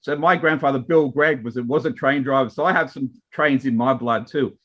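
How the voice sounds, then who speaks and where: A man's voice: medium pitch, normal speed, fairly bright tone. An Australian man talks casually as a panel guest and rambles through restarts and fillers. The recording sounds slightly dull and muffled. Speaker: wine marketer guest.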